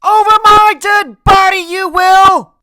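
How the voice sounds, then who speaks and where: A man voicing a fiercely protective, tearful older grandmother in an audiobook, loud, shrill and emphatic. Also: fairly even intonation, takes it slowly, exaggerated voice. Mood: outraged, tearful.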